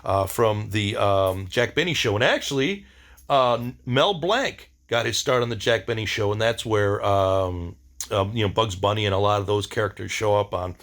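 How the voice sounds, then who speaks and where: A man's voice: lowish voice, animated, normal speed, a bit bright. A man giving a casual, rambling diary-style monologue, enthusiastic and informal, with some slang. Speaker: video diary host.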